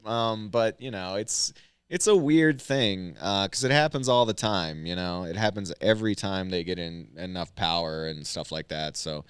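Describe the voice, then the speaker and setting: A man with a fairly low, smooth voice talks in a loose, rambling way, full of fillers and restarts. He is a stand-up comedian and military veteran speaking as a guest on a podcast. The recording is dry and close, with no room sound. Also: normal speed, no echo. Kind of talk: casual podcast conversation.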